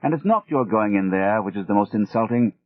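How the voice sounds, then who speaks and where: A man putting on a pompous British artist, high and indignant. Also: animated, natural voice. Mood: wounded. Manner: pompous, slow and emphatic. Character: indignant older male artist, pompous and wounded. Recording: dry, tinny phone-like sound.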